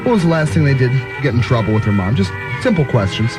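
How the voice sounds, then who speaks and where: A man's voice: medium pitch, sing-song, quick pace, music in the background. A man working as a radio show producer, casually telling stories and asking playful questions on air. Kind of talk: casual anecdotes and playful interviewing.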